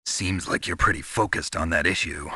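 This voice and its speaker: A cool, terse male commando from a video game. His voice sits low, rough and raspy with an intensely forceful edge. The delivery is understated and guarded. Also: normal speed, no room sound, clean studio sound, full character voice. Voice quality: gravelly, raspy. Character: gruff, terse male special-forces soldier hero. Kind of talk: dramatic video game voice acting.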